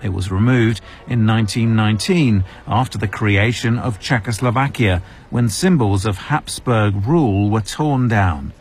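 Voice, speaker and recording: A man reporting as a news correspondent in a measured, scripted narration. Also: lowish voice, darkish tone, soft background music.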